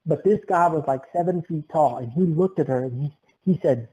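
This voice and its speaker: Mellow young man telling tall tales. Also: fairly high pitch, fairly quick, husky, poor audio. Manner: exaggerated tall-tale telling. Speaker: podcast host. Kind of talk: comic storytelling.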